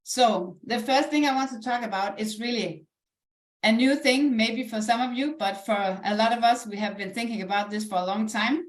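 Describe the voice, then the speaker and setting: A woman with a Danish accent and a fairly high voice presents a live online business pitch. She speaks in an earnest, encouraging, conversational manner. The sound is roomy, with clear echo around her voice. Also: normal speed. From Denmark. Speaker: online presenter, network marketing ambassador. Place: indoors, echoey room, live stream.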